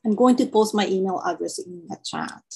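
A woman's voice: mid-range voice, huge pitch swings, a little fast, slightly rough. A Japanese woman who speaks English as a second language, carefully explaining the setup of an online workshop she facilitates over a video call.

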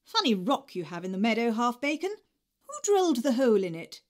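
Woman doing a brisk, hearty man. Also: a little high, big pitch swings, slight background noise. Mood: jovial.

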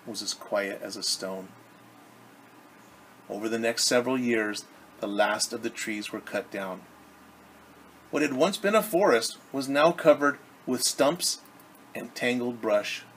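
Middle-aged man reading aloud, noisy room. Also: mid-range voice. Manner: expressive, explaining simply to young children. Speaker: teacher reading a story to children. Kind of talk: read-aloud storytelling with asides explaining words. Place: indoors in a home living room. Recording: clear background room noise.

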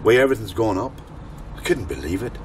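Plain-spoken ex-convict, lively pitch. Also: male voice, quick pace. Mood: bemused. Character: plain-spoken, polite ex-convict hitching a lift. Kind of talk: naturalistic radio drama acting. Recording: noisy car interior, vehicle rumble. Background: moving vehicle noise.

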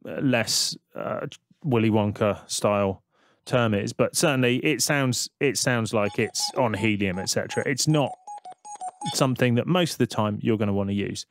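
A man giving a casual, conversational software tutorial voice-over, explaining things step by step. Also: fairly low pitch, moderate pace. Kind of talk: casual screen-recorded tutorial narration.

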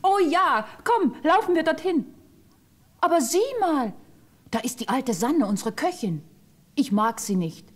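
An actress playing an affectionate, earnest little girl in a fairy-tale radio play, with a husky, childlike voice. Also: sits high, lively intonation, unhurried. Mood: wary, curious.

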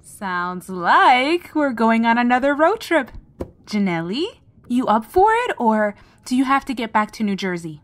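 A woman playing a warm, nostalgic grown-up who guides children on an outing, encouraging and reflective, in an audio drama. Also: fairly high pitch, big pitch swings, a little slow. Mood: friendly. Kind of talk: naturalistic audio drama acting.

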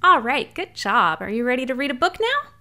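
A woman's voice: high voice, sing-song, brisk, bright, forceful tone. A young woman librarian leading a children's storytime, talking cheerfully in a sing-song way to young listeners from a small room at home. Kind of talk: children's storytime with songs and read-aloud. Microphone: large studio mic on a boom arm. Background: ukulele.

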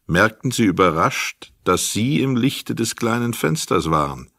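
A man's voice: lowish voice, a bit bright, no room sound, clean studio sound. A man narrating a crime radio play in a scripted, literary storytelling style. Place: dry studio.

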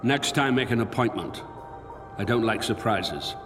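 An actor playing a middle-aged, commanding and calculating corporate boss in a video game, speaking in an authoritative, clipped way. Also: male voice, on the low side, expressive, unhurried. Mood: firm. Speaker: corporate executive. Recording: long reverb under music.

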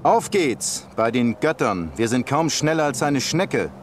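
An actor playing a soldier champion in a video game, giving orders and briefings in a commanding way. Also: male voice, mid-range voice, very animated, measured pace. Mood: impatient, commanding. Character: gruff male soldier champion escorting war turtles. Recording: noisy game battle ambience behind voice.

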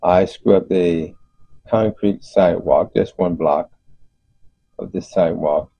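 A man giving an unscripted explanation as host of a DIY video, speaking haltingly with frequent pauses. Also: deep voice, gravelly, mellow, husky. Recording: muffled, roomy voice-over recording.

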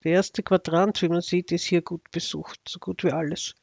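A man's voice: high voice, flat delivery, normal speed, creaky, a little mellow, no room sound, dull audio. A man with an Austrian accent giving casual, unscripted commentary as an astrology video narrator, in a sardonic, ironic manner.

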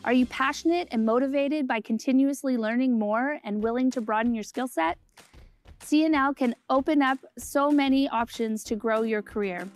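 A woman's voice: high voice, moderate pace, soft background music. A woman reading an upbeat, polished scripted promotional narration.

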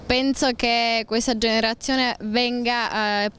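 A woman in her twenties answering a street interview outdoors into a handheld mic, earnest and often pausing to find words. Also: a little high, fairly even intonation, fairly bright tone, clean tone. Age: 20s. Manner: earnest, searching for words with fillers. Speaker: passer-by interviewee. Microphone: handheld mic held by interviewer.

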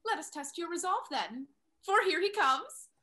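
A young woman playing a pointed, teasing confidante, a lively gentlewoman in a period stage comedy. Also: extremely high pitch, normal speed, exaggerated voice. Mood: urgent, pointed. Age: young adult. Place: indoors, on a video call.

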